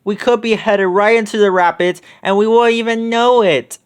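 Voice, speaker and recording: A man's voice: high voice, steady tone, normal speed, clear, resonant tone, pitched above the performer's own voice, lightly acted. A man voicing an earnest, brainy teenage girl in a storybook, in an exclamatory manner. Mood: alarmed.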